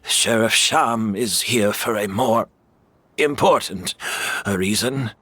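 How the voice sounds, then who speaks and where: A man voicing a gentle older master gardener in a video game, soft and kindly. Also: lowish voice, takes it slowly, a little hiss or hum. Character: gentle older male master gardener, frail but warm.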